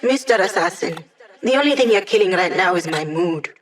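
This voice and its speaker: A woman's voice: lowish voice, animated, a little fast, natural voice. Wry young hacker woman, acted for a game. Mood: wry, playful. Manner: wry, quippy, conversational. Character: wry young female hacker living as a digital mind. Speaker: mission-support tech character in a video game. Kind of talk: video game voice lines.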